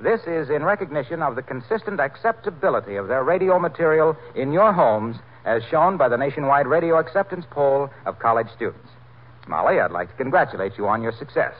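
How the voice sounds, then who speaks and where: A man's voice: medium pitch, very animated, brisk, husky. A man announcing a radio show in formal 1940s broadcast style. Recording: muffled 1940s radio recording.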